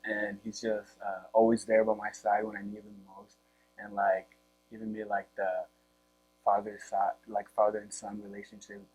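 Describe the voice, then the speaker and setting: A teenage male athlete with a Congolese accent gives informal interview answers. His pitch barely moves, and he speaks haltingly and casually. The sound is dull, with a faint hum. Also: completely flat delivery, darkish tone. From Democratic Republic or Republic of the Congo. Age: teens. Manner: halting, informal, leans heavily on "like". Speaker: high school football player being interviewed. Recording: muffled, with faint electrical hum.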